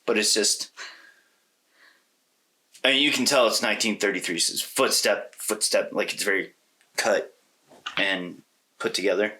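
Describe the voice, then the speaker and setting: A man's voice: on the low side, moderate pace, long pauses, sharp tone. A man co-hosting a podcast, casually discussing a film and thinking aloud in a loose, rambling way. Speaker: podcast co-host.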